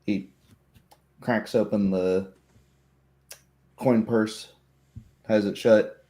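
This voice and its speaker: A man narrating a tabletop roleplaying game as game master, describing scenes in halting phrases. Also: lowish voice, steady tone, mellow.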